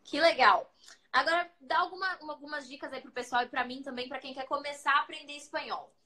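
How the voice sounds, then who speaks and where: A woman's voice: high-pitched, fast, sharp tone, roomy sound. A young woman with a Brazilian accent hosting a livestream, chatting playfully with viewers and a guest. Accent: Brazilian Portuguese. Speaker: livestream host. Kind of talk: casual livestream chat.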